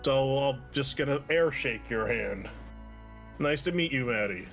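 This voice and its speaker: A man voicing a friendly, awkwardly polite adventurer in a tabletop game, with an earnest, halting manner. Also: medium pitch, expressive, gravelly, fairly bright tone, soft background music.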